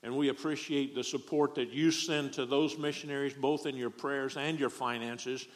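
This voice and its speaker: A man's voice: medium pitch, fairly even intonation, moderate pace. A middle-aged man from Texas with a Southern accent, preaching a folksy, joking sermon as a guest preacher.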